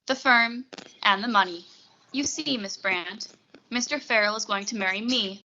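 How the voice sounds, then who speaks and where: A woman's voice: mid-range voice, muffled recording, very noisy, close to an everyday voice. A young woman acting a cool, formal, menacing blackmailer receptionist in a 1940s-style radio suspense play. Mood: cold, calculating. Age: young adult. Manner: formal, measured, polite menace. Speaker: high school theatre student actor.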